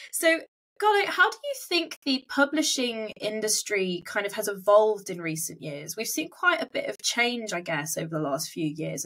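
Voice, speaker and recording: A woman's voice: mid-range voice, fairly bright tone, low-quality recording. A woman hosting a casual interview, often repeating words as she forms her questions. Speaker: podcast host interviewing an author-platform founder.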